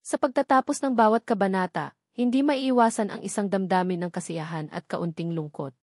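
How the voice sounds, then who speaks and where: A woman narrating a scripted sentimental story in an earnest, measured way. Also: medium pitch, a little mellow, no room sound, studio quality.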